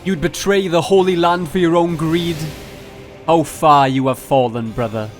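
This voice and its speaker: A man's voice: a little high, measured pace, faint music behind. A man narrating a video game challenge run as scripted commentary, playful and mock-grandiose with jokey asides.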